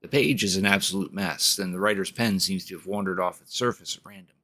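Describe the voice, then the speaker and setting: A man streaming a game, reading its story text aloud in a measured way and commenting on his play. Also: deep voice, steady tone, sharp tone.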